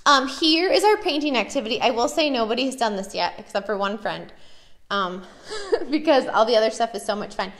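A woman's voice: fairly high pitch, expressive, a little fast, a bit bright. An American woman, a preschool teacher, chatting enthusiastically and casually as she shows classroom activities.

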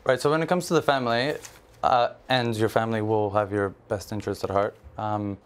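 A man's voice: fairly low pitch, fairly even intonation, a little fast, smooth. A young man from Israel, a football agent as a studio guest, explaining in an earnest way with many fillers on a TV panel. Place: large TV studio.

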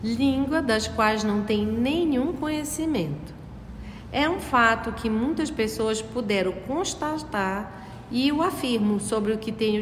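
Woman, study group reader, slightly high voice, echoey room with long reverb, faint background noise. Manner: reads a text aloud, stops to explain. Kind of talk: reading aloud and commenting in a study group.

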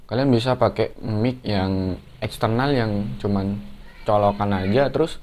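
A young man talks viewers through a setup in a relaxed, slangy way, with plenty of fillers. His voice sits a little low. He is recorded on a camera mic in a living room. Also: unhurried, slight background noise. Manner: slangy, chatty, full of fillers. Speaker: motovlogger. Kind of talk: casual hands-on tutorial. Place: living room at home, indoors.

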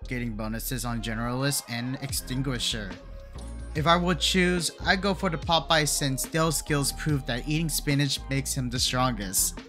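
A man's voice: medium pitch, bright, forceful tone. A man narrating a scripted game showcase as a video creator. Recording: music under the voice. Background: background music.

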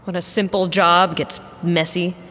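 A woman's voice: fairly low pitch, flat delivery, measured pace, a bit bright, in a large hall. A woman playing a guarded, blunt, loyal mercenary pilot in an audio drama, with a dry manner. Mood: exasperated.

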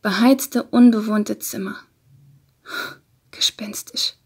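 Woman reading a novel, breathy and whispery, fry in the voice. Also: medium pitch, steady tone, slow pace. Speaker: audiobook narrator. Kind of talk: scripted literary narration.

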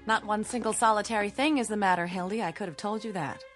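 An actress playing a headstrong, flirtatious Hollywood star in a radio drama, chatty, theatrical and teasing. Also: female voice, mid-range voice, fast, faint music behind, close to an everyday voice. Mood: breezy, dismissive. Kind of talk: modern radio drama acting.

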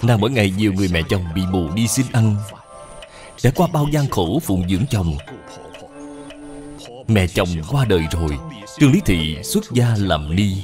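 A man's voice: on the low side, lively intonation, moderate pace, long pauses. A man giving scripted, solemn storytelling narration. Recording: prominent music under the voice.

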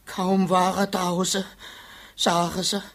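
A shaken prison warder in a 1950s radio play. He speaks slowly and haltingly, deferential to his superiors. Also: high-pitched, little pitch movement, a bit bright, heavily acted. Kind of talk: 1950s German radio drama acting.

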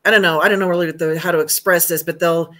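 Bright-voiced American woman, chatty. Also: on the low side, steady tone, fast talker. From United States. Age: middle-aged. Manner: chatty, rambling. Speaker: host, home staging trainer. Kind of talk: casual chat. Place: indoor room at home. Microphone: video call.

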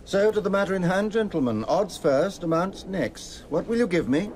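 An actor playing a wily, eager merchant in a radio drama, courteous and theatrical. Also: male voice, sits high, animated, normal speed, a little mellow, a little hiss or hum. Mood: slyly polite. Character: wily, eager merchant, politely needling proud Romans.

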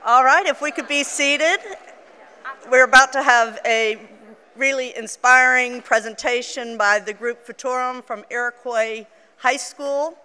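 A woman's voice: high-pitched, a little slow, bright, forceful tone, clear, resonant tone. A woman hosting a live event from a theater stage, making friendly announcements with a few light fillers. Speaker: event host. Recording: reverberant auditorium.

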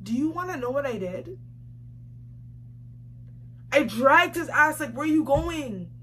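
A woman's voice: high voice, expressive, unhurried, a bit bright. A young woman telling a story casually to camera, emphatic and slangy, repeating phrases for effect. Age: young adult. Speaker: vlogger. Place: small room indoors, seated facing camera. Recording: clearly noisy home recording.

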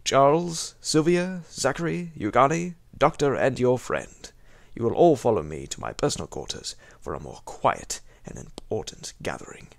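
A man voicing a genial, wealthy mansion host and factory owner who brushes off trouble with a smooth, hospitable manner. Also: medium pitch, very animated, normal speed, no room sound, studio quality. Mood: formal. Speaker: party host.

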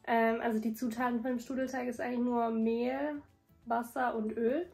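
A young woman in her twenties, a food vlogger chatting casually and relaxed at home. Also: on the high side, moderate pace, faint music behind. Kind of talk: casual vlog chat. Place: home rooms and kitchen, indoors. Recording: echoey room with background noise.

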